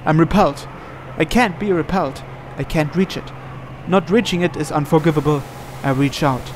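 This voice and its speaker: A man's voice: a little high, normal speed, a little hiss or hum. A man reading visual novel narration aloud dramatically, as a let's player.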